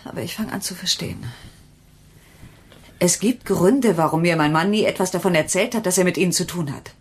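An actress playing an anxious but determined wife in a German radio crime drama, speaking in a tense, insistent way. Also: female voice, lowish voice, brisk, husky.

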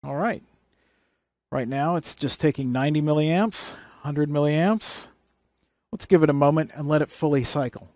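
A man's voice: on the high side, normal speed, a little mellow, no echo, studio quality. A man presenting a hands-on electronics how-to, explaining things plainly and step by step. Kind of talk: hands-on tutorial demonstration.

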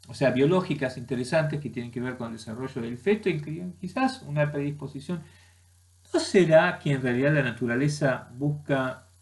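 Halting Argentine man ranting to webcam. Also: fairly high pitch. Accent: Argentine Spanish. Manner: halting, many restarts and fillers, argumentative. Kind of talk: opinion monologue to camera. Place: small room indoors at home.

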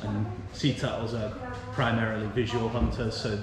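A man's voice: on the low side, flat delivery, moderate pace. English man explaining in a crowded echoey space. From England. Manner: patient, informative, with filler "um"s. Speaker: sea turtle rescue centre worker. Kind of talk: explaining to a visitor on a tour. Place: turtle rescue centre with tanks. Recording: very echoey, loud crowd behind.

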